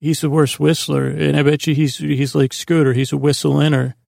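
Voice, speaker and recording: A man with a creaky, gravelly voice hosting a sleep podcast in a meandering, halting, self-deprecating monologue full of tangents. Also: on the high side, flat delivery, darkish tone, dry recording, studio quality. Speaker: podcast host.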